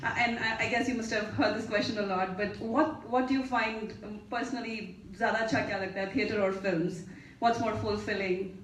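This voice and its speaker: A woman's voice: on the high side, fairly even intonation, fast. A woman putting a polite, tentative question at a press conference. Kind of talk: press question mixing two languages. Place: indoor event room at an arts center. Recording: echoey, distant, faintly noisy room.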